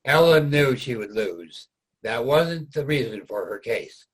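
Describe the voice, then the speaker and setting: A man's voice: mid-range voice, animated, a little slow, slightly rough, poor audio. A man reading scripted historical narration for a reader's theater production.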